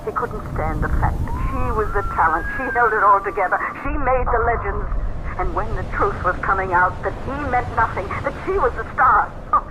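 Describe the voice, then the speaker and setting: An actress playing a grieving older mother in a 1970s radio drama, speaking softly and slowly. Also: medium pitch, expressive, noisy background, close to an everyday voice. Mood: urgent. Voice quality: soft, hushed and slow. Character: sorrowful older woman, shut-in mother. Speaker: grieving mother of a dead dancer.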